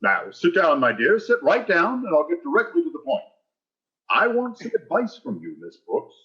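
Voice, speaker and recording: A man's voice: high-pitched, huge pitch swings, moderate pace, sharp tone, exaggerated voice. A pompous school principal acted by a man in an old-time radio sitcom. His delivery is florid and slyly wheedling, swinging up and down with great animation. The sound is slightly muffled and dull. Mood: falsely jovial. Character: pompous, wheedling, greedy male school principal, falsely magnanimous. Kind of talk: 1940s radio sitcom acting.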